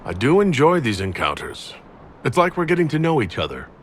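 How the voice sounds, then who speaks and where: An actor playing a composed, courteous fantasy inquisitor in a video game, a man speaking in a measured, formal way. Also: a little high, wildly swooping pitch, a little hiss or hum.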